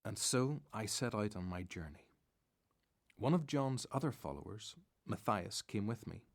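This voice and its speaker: A man's voice: fairly low pitch, lively intonation, unhurried, gravelly. An actor playing a reflective disciple who narrates his story in first person for a radio play.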